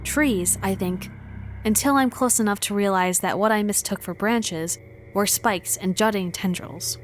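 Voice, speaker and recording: A woman playing a wary, determined traveler, giving measured, introspective first-person narration in a visual novel fan dub. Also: mid-range voice, a little hiss or hum, close to an everyday voice. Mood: tense, determined. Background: music.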